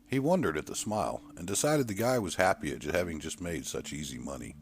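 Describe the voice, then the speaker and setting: A man's voice: low-pitched, animated, fast talker, a little hiss or hum. A man reading a scripted horror short story as its narrator.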